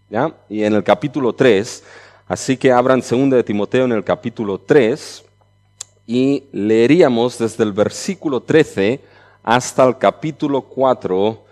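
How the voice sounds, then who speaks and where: A middle-aged man from Barcelona with a mixed Catalan and Chilean Spanish accent, preaching a conference sermon in a conversational way and often ending phrases with a checking "¿ya?". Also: fairly low pitch. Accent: mixed Catalan-Spanish with Chilean touches.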